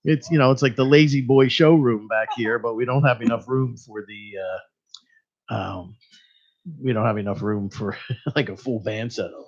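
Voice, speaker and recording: A man's voice: mid-range voice, normal speed. A man hosting a small radio show, joking around and rambling with lots of fillers. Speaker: radio host. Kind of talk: casual interview chat. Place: small radio studio in a church. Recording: slightly dull lo-fi radio sound.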